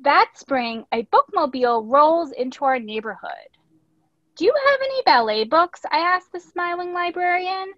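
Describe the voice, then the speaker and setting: A woman with a fairly high voice reads aloud in an expressive, teacherly way, pausing to explain things for young learners. The sound is dry and somewhat dull, like a lo-fi recording. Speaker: ballet teacher reading a picture book aloud. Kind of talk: storybook read-aloud with teaching asides. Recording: echoey room, dull lo-fi audio.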